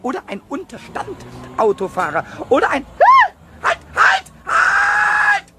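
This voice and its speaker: A man playing a small, mischievous, childlike kobold in a children's radio play, stammering and repeating words excitedly in a squeaky, scratchy voice. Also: slow, shouting, heavily acted. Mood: scheming, excited.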